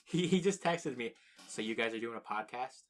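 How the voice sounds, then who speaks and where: A young American man co-hosting a loose podcast conversation, voice in an ordinary middle pitch. He rambles, restarts his sentences and swears freely as he talks. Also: expressive, fairly quick, soft background music. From United States. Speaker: podcast co-host. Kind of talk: casual chat.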